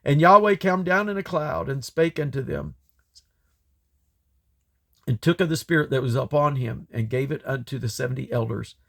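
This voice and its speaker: Gravelly man reading scripture aloud. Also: medium pitch, sing-song, normal speed, pauses often. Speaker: Bible reader. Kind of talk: scripture reading, King James English.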